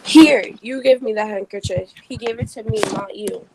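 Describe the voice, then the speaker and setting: A woman's voice: fairly high pitch, normal speed, very noisy, close to an everyday voice. A woman playing a poor young flower girl in a play reading, indignant and whiny, with colloquial protests. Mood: proud. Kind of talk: reader's theatre play reading.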